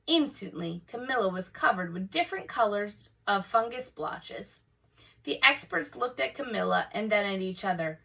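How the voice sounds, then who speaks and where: A woman narrating a children's picture book aloud in an expressive, scripted read-aloud style, picked up from slightly off the microphone. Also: mid-range voice, normal speed, gravelly, far from the mic, rough recording. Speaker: storybook reader for remote learning.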